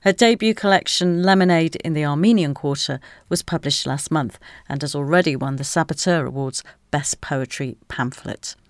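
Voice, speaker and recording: Deep-voiced woman radio host. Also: dry recording, clean studio sound. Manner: measured, clear presenter delivery. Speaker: arts programme host. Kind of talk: radio interview and introductions.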